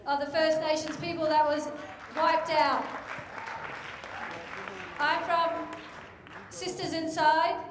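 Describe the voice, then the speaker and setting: A woman gives an activist speech at a gathering, with slow, deliberate emphasis. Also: high-pitched. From Australia. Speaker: activist speaker. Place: large event hall. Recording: echoey hall, crowd behind.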